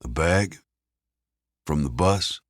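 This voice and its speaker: Guy doing a cold mysterious stranger, low, measured n formal, quietly menacing, audiobook thriller. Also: expressive, dry recording, studio quality, full character voice. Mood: calm, menacing. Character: cold, enigmatic, soft-menacing American man of few words.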